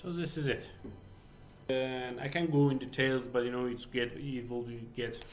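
A man's voice: mid-range voice, fairly even intonation, moderate pace, slight background noise. A man in his thirties from Iran with a Persian accent, speaking English as a second language and hosting a casual screen-share walkthrough full of fillers and restarts. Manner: hesitant, many fillers and restarts. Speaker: game developer vlogger. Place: home office, indoors.

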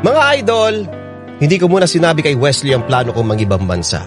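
Male actor as heartfelt Filipino radio drama narrator, mid-pitched, confiding, clear guitar music behind. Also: sing-song, quick pace, close to an everyday voice. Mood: reflective.

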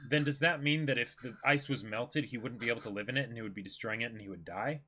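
A man's voice: mid-range voice, fast talker, pushed and bright. A young man speaks as a co-host in an informal chat. His delivery is casual and conversational, as if talking to a friend beside him. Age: young adult.

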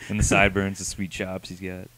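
A man's voice: low voice, monotone, brisk, a bit bright. A young man co-hosting a podcast, chatting casually with slangy, joking phrasing into a handheld microphone in a small room. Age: young adult. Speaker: podcast co-host. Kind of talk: casual chat.

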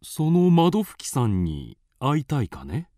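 A mellow male voice as a thoughtful, kindly animal doctor in a radio play. He is avuncular and measured, with hugely varied intonation. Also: mid-range voice, wildly swooping pitch, dry recording, studio quality. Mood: kindly, decisive. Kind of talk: audio drama acting.

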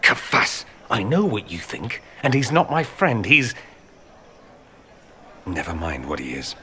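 A proud young nobleman. He is prickly and haughty, lively in pitch, with background game ambience. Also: a little slow, slight background noise, full character voice. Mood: indignant. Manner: haughty, indignant, clipped. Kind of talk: video game dialogue acting.

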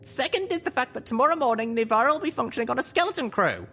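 Young mare leader, much higher pitch, earnest, pleading, solemn, storybook drama. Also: lively intonation, fast talker, bright, forceful tone, slightly rough, heavily acted. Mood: resolute. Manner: solemn, urgent speech-making.

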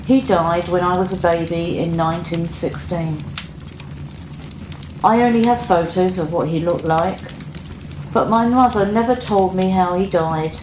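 A woman's voice: fairly low pitch, unhurried, natural voice. A woman playing a soldier's grown daughter, narrating a radio drama with sombre reflection on loss. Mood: wistful. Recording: echoey room, clearly noisy background.

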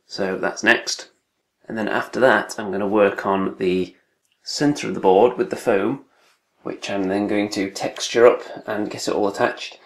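A man casually narrating his hobby build log in a relaxed, wry, understated way. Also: lowish voice, a little slow. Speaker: hobby video creator. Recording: echoey home room.

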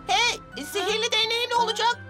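A woman voicing a young, mischievous magical child who longs for friends, expressive and childlike, in a cartoon dub. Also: very high-pitched, quick pace. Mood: sulky. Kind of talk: animated children's series dub. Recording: music behind the voice.

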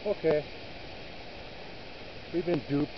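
A man's voice: on the high side, steady tone, takes it slowly. A man in his thirties vlogging casually while hiking outdoors in the woods, muttering his thoughts to himself as he films on a handheld phone. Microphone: phone camera held at arm's length. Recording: muffled phone audio with outdoor noise.

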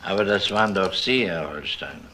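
An actor playing a calm police detective who asks short, probing questions in a crime radio drama. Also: male voice, low voice, normal speed, slight background noise. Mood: probing, courteous. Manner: terse, questioning.

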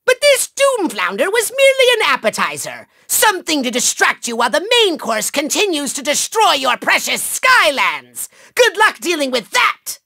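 A man voicing a small, megalomaniac cartoon villain in a video game, with a shrill, gravelly, nasal voice and bombastic, gloating, theatrical delivery. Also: wildly swooping pitch, pushed and bright, dry recording, clean studio sound, heavily acted. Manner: bombastic, gloating, sneering delivery with drawn-out words.

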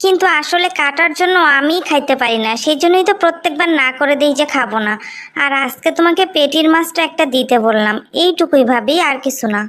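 Meek little sister for a cartoon moral tale, played by a woman: Bangladeshi accent, lightly high, wistful. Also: fast, a bit bright. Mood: meek, pleading. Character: meek, wistful young sister longing for a treat. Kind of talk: animated moral story dialogue.

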